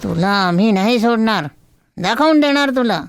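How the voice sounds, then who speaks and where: A woman voicing a blustering, bossy male market trader in an animated moral story. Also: a little high, normal speed, clear, resonant tone, soft background music. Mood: straining, annoyed. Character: bullying male market traders, loud and threatening.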